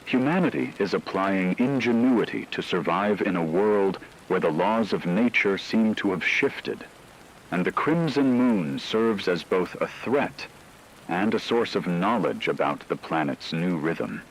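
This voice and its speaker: Deep-voiced solemn radio announcer played by a man. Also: animated, unhurried, a little hiss or hum, low-quality recording. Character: grave male radio announcer reporting on an apocalyptic aftermath. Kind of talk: scripted fictional emergency radio broadcast narration.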